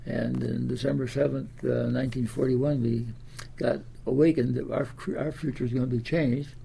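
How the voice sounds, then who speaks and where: An elderly American man of ninety with a Midwestern accent and a heavily gravelled, soft-edged voice. He tells his life story in a halting, meandering way, repeating words as he goes. There is faint noise and room ambience behind him. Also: mid-range voice, level tone. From Des Moines, Iowa, United States. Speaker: interviewee, World War Two veteran. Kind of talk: oral history reminiscence.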